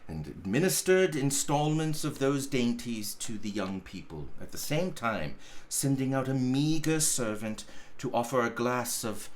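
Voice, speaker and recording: A man reading a classic story aloud as narrator, with deliberate, dramatic phrasing. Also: mid-range voice, a little hiss or hum.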